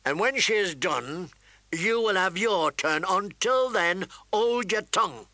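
A man's voice: high voice, lively intonation, slow, pushed and bright, no echo, voice pushed up, full character voice. A man voicing a burly, bearded king with a commanding, snapping, irritated manner in a storybook reading. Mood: stern command.

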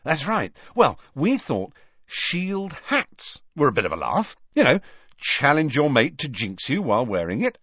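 Storybook role: brash young male joke-shop salesman, played by a man, cheeky and enthusiastic, pitched high and hugely animated. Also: dry recording, muffled recording, heavily acted. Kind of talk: audiobook character voice.

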